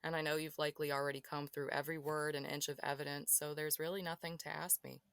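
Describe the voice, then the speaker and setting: A woman playing a cool, sharp-eyed female police detective with a guarded, curt manner. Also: low voice, flat delivery, fast, no room sound. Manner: crisp, guarded and dismissive. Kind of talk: amateur audio drama acting.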